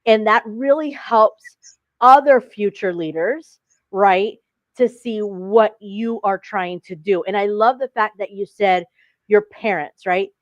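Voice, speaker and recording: A woman's voice: mid-range voice, slow pace, dry recording. A woman interview host, born in Philadelphia and raised in Chicago, speaking over a video call. She is effusive and encouraging and keeps repeating her praise. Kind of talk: casual interview conversation. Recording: dull, dry lo-fi call audio.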